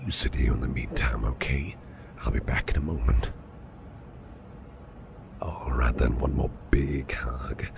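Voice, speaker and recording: A man playing a caring, protective businessman boss in an ASMR roleplay, speaking in a soothing, reassuring, breathy half-whisper. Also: extremely low pitch, a little slow, pauses often, noisy background, heavily acted. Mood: tender, reassuring. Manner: soothing, reassuring, affectionate, gently murmured. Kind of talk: soft ASMR roleplay, personal attention.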